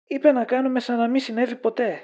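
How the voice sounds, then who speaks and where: A woman's voice: a little high, flat delivery, dark tone, lightly acted. A woman playing a lovesick, self-deprecating young man in an audio drama, casual and guarded with a wistful manner. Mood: resigned. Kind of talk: conversational audio drama acting. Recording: dull lo-fi audio.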